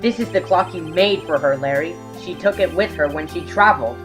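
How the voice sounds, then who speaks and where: A man's voice: a little high, a little fast, over music, lightly acted. A man playing an earnest, exasperated young rookie defense lawyer in an amateur video game fandub. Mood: encouraging.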